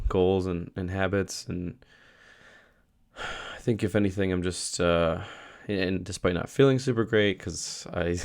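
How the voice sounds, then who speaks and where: Young guy, super low voice, kinda hoarse cuz he's sick, rambly vlog talk w lots of ums. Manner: rambling with many fillers and restarts. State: losing his voice, a little sick. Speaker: vlogger. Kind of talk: casual daily video diary.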